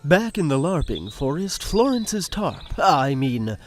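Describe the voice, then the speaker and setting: A man's voice: on the high side, sing-song, slow. A man narrating a scripted comic audio drama in a wry storytelling manner. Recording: music behind the voice.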